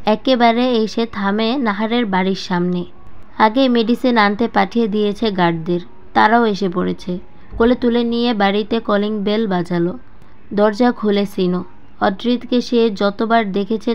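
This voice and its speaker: A woman's voice: medium pitch, clear, resonant tone. A woman reading a scripted dramatic story as a narrator. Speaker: storyteller, audiobook-style narrator. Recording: dull lo-fi voice-over with music. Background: background music.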